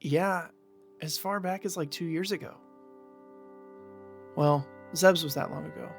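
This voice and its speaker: A man playing a calm young man who shares secrets in an audio drama, in a casual conversational voice. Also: on the high side, measured pace, creaky, faint music behind. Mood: confiding.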